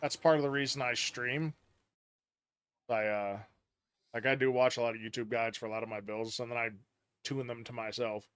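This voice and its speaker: A man's voice: medium pitch, steady tone, moderate pace, long pauses, fairly bright tone, smooth, dry recording, clean studio sound. A man streaming a game, chatting casually and explaining at length with many restarts, on a headset mic. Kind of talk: casual gaming chat.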